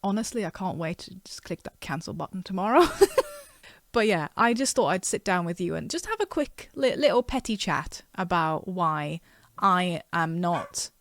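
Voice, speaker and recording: A woman's voice: fairly low pitch, lively intonation, normal speed, no room sound. A woman whose first language is not English, reading a web page aloud with occasional stumbles and breaking off to make frank, chatty asides. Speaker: artist and video creator.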